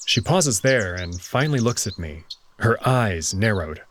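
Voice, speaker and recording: Low-voiced man narrating with lively pitch. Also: unhurried, slight background noise. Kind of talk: first-person dramatic story narration.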